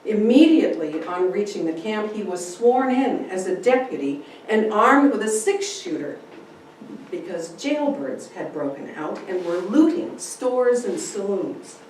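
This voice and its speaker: Slow, dramatic woman in an echoey room. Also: mid-range voice, animated, a little mellow. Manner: deliberate, dramatic phrasing. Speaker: speaker giving a dramatic reading. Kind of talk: theatrical story narration. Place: indoor room, speaking to a group. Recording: very echoey room, distant mic, faint noise.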